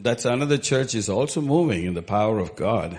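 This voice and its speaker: A pastor introducing a guest preacher to his church, halting and repeating his words as he goes. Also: fairly low pitch, lively intonation, darkish tone.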